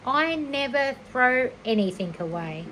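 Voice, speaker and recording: A woman's voice: high voice, lively intonation, unhurried, a bit bright, slight background noise, pitched above the performer's own voice, rough recording. A woman voicing a smug, boastful male barn rat from a children's storybook, with a slurry, drawling voice.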